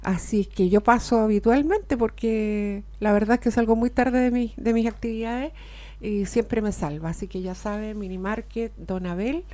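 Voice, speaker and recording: A woman's voice: medium pitch, normal speed. A woman with a Chilean accent hosting a casual radio talk show in a studio, chatting and reading sponsor spots. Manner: chatty, warm asides, occasional laughs and filler "eh".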